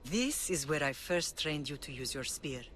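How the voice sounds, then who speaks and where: A woman playing a stern, proud and loving middle-aged Spartan mother in a video game, speaking with measured firmness. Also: low-pitched, expressive, a bit bright, slight background noise. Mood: fond. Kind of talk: cinematic video game acting. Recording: music under the voice.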